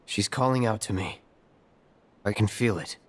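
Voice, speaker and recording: An actor playing a terse, brooding young fighter in a video game, speaking in a curt, understated way. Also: male voice, lowish voice, normal speed, slight background noise, natural voice. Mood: quietly intense. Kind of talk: dramatic video game cutscene acting.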